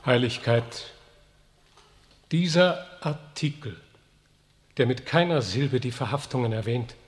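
A man's voice: medium pitch, slow pace, natural voice. A man playing an earnest count at a papal court, formal and insistent, in theatrical drama. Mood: urgent objection. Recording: reverberant hall in old drama recording.

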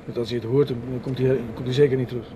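An actor plays an impatient, worried man in a radio drama. He speaks quickly and curtly in a medium-pitched voice, over clear recording noise. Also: little pitch movement, lightly acted. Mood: impatient worry. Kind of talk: radio play acting. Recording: noisy radio play recording.

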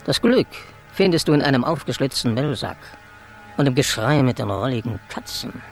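An actor playing a sly, pleasure-loving stray tomcat in a radio play, relishing every word. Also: male voice, mid-range voice, animated, normal speed, faint music behind, natural voice. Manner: swooping, relishing.

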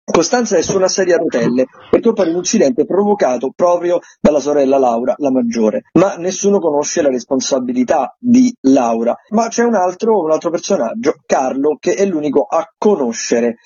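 A man gives an informal, explanatory radio review of listings. His voice sits a little high yet is dark and very rough. The sound is dull and lo-fi. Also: lively intonation, fairly quick, few pauses, no echo. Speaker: theatre critic on radio. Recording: muffled lo-fi radio call.

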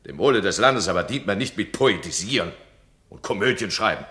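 A bossy stern duke, sharp bright voice, all over the place in pitch, echoey room. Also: male voice, expressive, a little fast. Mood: imperious. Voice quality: imperious, scolding, swings loud. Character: domineering stern duke, absolute ruler. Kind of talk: radio play acting. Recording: roomy radio drama studio.

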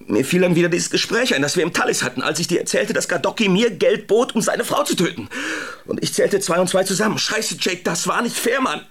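A man's voice: sits high, lively intonation, a little fast, few pauses, a bit bright, slightly rough, heavily acted. An actor playing a desperate male small-time crook who stammers and pleads. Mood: tearful. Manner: pleading, stammering, breaking into tears. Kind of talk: radio drama acting.

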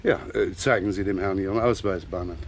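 An actor playing a wry, easygoing, rumpled police inspector with a teasing, casual way of talking in a German radio crime drama. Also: male voice, low voice, a little fast, a little hiss or hum. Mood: wry, probing.

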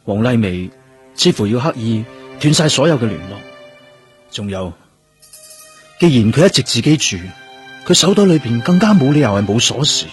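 A man's voice: medium pitch, big pitch swings, moderate pace, long pauses, soft background music, natural voice. Composed male detective, played by an actor, musical backing. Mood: pensive, analytical. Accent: Hong Kong Cantonese. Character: composed male police detective, methodical and probing. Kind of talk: radio drama acting, questioning and inner monologue.

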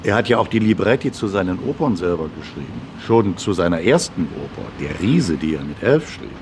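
A man explains things in an informal commentary style. His voice is fairly low with animated intonation, recorded against clearly audible outdoor city noise. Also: a little fast. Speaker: commentator on a composer's life and work.

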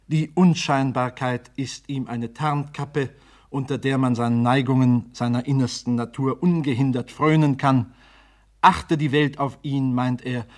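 A man reading scripted narration that introduces a radio drama. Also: mid-range voice.

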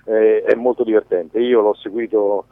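A man giving a conversational radio interview as a filmmaker, haltingly searching for words and restarting phrases. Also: lowish voice, fairly even intonation, no room sound. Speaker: documentary filmmaker being interviewed. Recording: dull lo-fi radio sound, dry.

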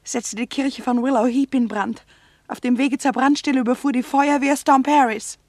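An actress playing a fearful, superstitious gangster's companion in a comedic radio play, anxious and pleading. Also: female voice, high voice, steady tone, normal speed, darkish tone, no echo. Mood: ominous insistence. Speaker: actress in a radio crime satire.

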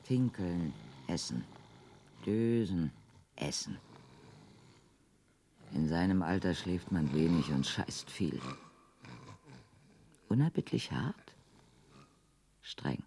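An actress in a radio play playing a weary housebound wife who is wistful and coy, with a breathy voice that often drops to a whisper. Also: female voice, rumbling low, big pitch swings, slow, long pauses, mellow, slight background noise, exaggerated voice. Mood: bitter, wistful. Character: housebound, weary wife daydreaming of courtship and adventure. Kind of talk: radio play acting, intimate monologue and dialogue.

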